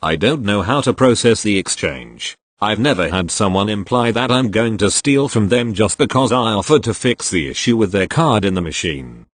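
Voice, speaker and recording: A man's voice: on the low side, normal speed, few pauses, dry recording. A man narrating retail stories read from online posts, voicing the people in them as he goes. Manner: expressive reader voicing each character in turn. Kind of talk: scripted storytelling narration.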